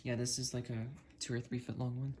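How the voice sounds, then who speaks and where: A hobbyist talks through an unboxing in a casual, halting way. The voice sits at a medium pitch and thinks aloud between small tasks. Faint noise and clicking from handled parts accompany the speech. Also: little pitch movement, mellow. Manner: halting, thinking aloud while busy with hands. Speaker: hobbyist video creator. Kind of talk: casual unboxing and testing narration. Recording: noisy, with clicks and handling sounds.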